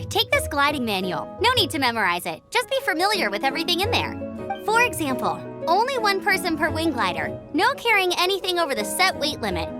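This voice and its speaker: A woman voicing a peppy, upbeat young woman knight who chats eagerly in a game dub. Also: sits high. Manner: bubbly, upbeat, chatty. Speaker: gliding examiner. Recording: game audio with music behind.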